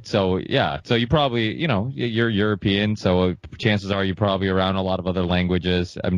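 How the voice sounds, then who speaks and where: A man's voice: low-pitched, dry recording, highs cut off. A man whose first language is Portuguese, a podcast guest chatting casually and rambling with many restarts. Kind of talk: casual chat.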